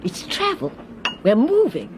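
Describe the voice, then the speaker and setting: An actress playing a kindly older English governess in a radio drama, effusive, fussy and eager to confide. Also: female voice, wildly swooping pitch, a little slow. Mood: delighted. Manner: effusive, fussy, calls the listener "my dear". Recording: train rumble and noise behind.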